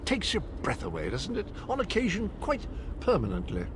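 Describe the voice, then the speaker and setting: An actor playing a flamboyant, jovially eccentric video game guide, theatrical, airy and drily ironic. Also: male voice, sits high, huge pitch swings, normal speed, noisy background. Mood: airy, wry. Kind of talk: video game character dialogue.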